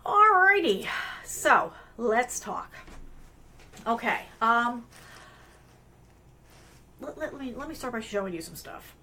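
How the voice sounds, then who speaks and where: A woman's voice: fairly high pitch, sing-song, takes it slowly, pauses often, bright, forceful tone, a little hiss or hum. A middle-aged woman hosting a live career webcast, talking in a loose, rambling way with many fillers and restarts, her voice strained from allergies. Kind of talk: casual live-stream talk with screen sharing.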